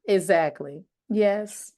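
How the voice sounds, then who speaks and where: A woman's voice: medium pitch, darkish tone. A woman from Michigan, a guest on a podcast, friendly as she tells her story. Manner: friendly, laughs lightly while telling her story. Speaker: interview guest, child care owner. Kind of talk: casual podcast interview.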